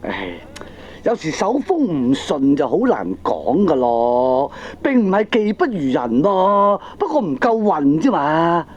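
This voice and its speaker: A man's voice: on the high side, very animated, unhurried. A man playing a feckless, defensive middle-aged gambling husband in a comedy radio drama, blustering in Hong Kong Cantonese with exasperated interjections. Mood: grumbling. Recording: dull old cassette radio drama recording.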